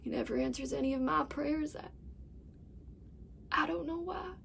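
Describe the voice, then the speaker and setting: A woman's voice: sits high, moderate pace, creaky, fairly bright tone, full character voice. A woman performing a dramatic monologue as a desperate young farm wife confessing to her absent husband in an anguished manner. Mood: pleading, despairing. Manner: anguished, swinging between whispers and outbursts. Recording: distant mic with faint background noise.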